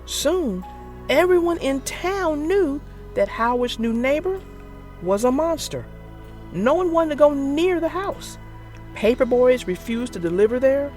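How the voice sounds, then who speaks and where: A narrator reading a children's story aloud in an expressive, theatrical and deliberate way. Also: high-pitched, faint music behind. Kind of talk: expressive scripted read-aloud for young children.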